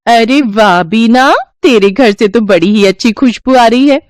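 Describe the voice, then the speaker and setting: A woman voicing a talkative, cheerful female neighbor in a cartoon story, lively and encouraging. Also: fairly high pitch, a little slow, dry recording, studio quality, close to an everyday voice.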